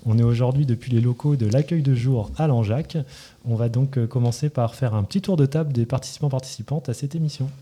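A man's voice: mid-range voice, fast, dark tone, slightly rough. A man presenting a community radio show, often hesitating as he speaks. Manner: frequent hesitations with filler sounds. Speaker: radio presenter, care worker.

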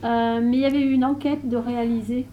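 A woman's voice: fairly high pitch, flat delivery, fast, muffled recording, a little hiss or hum. A woman answering interview questions, explaining at length with many fillers. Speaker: interviewee, care service staff.

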